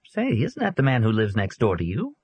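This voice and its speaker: A man voicing a relaxed, gossipy adult woman neighbor. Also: medium pitch, fairly quick, breathy edge, dry recording, pitched above the performer's own voice, exaggerated voice. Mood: curious.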